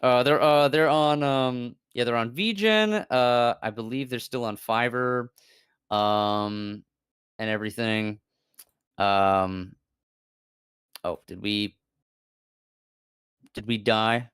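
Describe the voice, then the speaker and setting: A man chatting casually on a stream, animated and swearing freely, with lots of fillers and laughter. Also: mid-range voice, slow pace, long pauses, clear, resonant tone, dry recording, clean studio sound. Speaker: VTuber guest.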